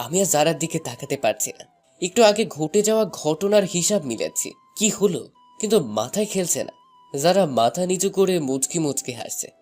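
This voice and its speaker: A young man narrating a scripted first-person love story in an expressive, dramatized way. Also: a little high. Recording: music bed under the voice. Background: background music.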